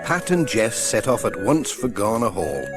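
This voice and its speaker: A man's voice: lowish voice, sing-song, normal speed, loud music behind the voice. A man narrating a children's story as an audiobook reader, from a script. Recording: faint noise, old audiobook transfer.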